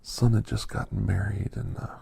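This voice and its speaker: A man voicing a kindly, reflective older doctor in soft, half-whispered ASMR storytelling, with breathy, halting short phrases. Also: fairly low pitch, flat delivery, exaggerated voice. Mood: hushed, reflective. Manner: unhurried, pausing between short phrases. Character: older doctor recounting his gentle alien encounters, reflective, kindly.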